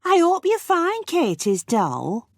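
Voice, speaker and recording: A woman acts a friendly village lady from a children's storybook. Her high voice is sing-song and hugely animated, taken at a gentle pace in a dry recording. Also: takes it slowly, dry recording, full character voice. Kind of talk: children's storybook character dialogue.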